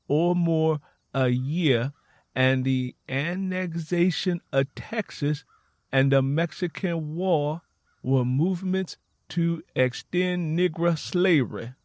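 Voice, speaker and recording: A man's voice: a little high, no room sound, clean studio sound. A man reading scripted historical fiction as an audiobook narrator.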